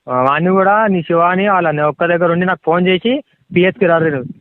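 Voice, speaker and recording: A man's voice: fairly even intonation, racing, no room sound, lightly acted. A man playing a bullying, threatening police officer in a prank phone call, scolding informally with a Telangana accent. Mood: stern, bullying. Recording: tinny low-fi phone line.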